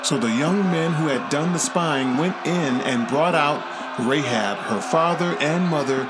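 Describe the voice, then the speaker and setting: Higher-voiced man narrating scripture over music. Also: measured pace. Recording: loud music behind the voice. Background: loud music.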